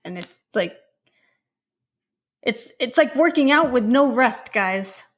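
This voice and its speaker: A woman's voice: a little high, animated, slow pace. A woman co-hosting a podcast in casual chat, with an informal, playful, slangy way of talking. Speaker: podcast co-host.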